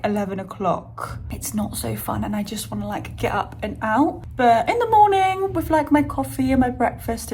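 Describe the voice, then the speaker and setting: A young woman vlogging to her phone on a tripod by a window in her flat, chatting casually and rambling with lots of fillers. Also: medium pitch, slight background noise. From Spain. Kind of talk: casual vlog monologue to camera. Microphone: phone on a little tripod.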